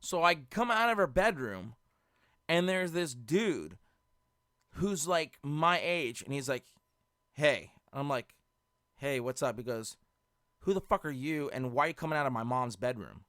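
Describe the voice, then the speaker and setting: Male storyteller, animated and profane, bright forceful tone, close dry sound, slips into reenacted dialogue. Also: a little high, measured pace, pauses often, no echo. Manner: animated, laughing as he talks, reenacts both sides of conversations. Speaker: storyteller and host of a live show. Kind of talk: casual, profane anecdote storytelling with acted dialogue.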